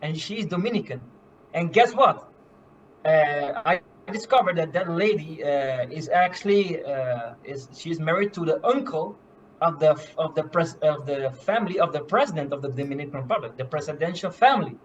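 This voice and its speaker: A man from Curaçao, a medical missionary, giving an earnest, halting faith testimony full of fillers and restarts. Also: a little high, expressive, muffled recording, slight background noise. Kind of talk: personal faith testimony in a live stream.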